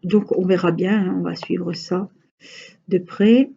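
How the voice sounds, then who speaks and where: A woman's voice: lowish voice, fairly even intonation, slow pace, creaky, mellow, husky, lo-fi sound. Chatty woman with a Franche-Comté accent. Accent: Franche-Comté French. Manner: chatty, rambling, frequent hesitations. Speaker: crafting vlogger. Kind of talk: casual chat while crafting.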